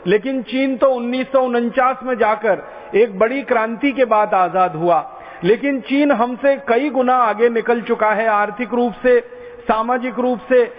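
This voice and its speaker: A man from India giving an impassioned public lecture, driving home each point with repeated parallel phrases. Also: extremely high pitch. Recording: tinny phone-like sound in a reverberant hall.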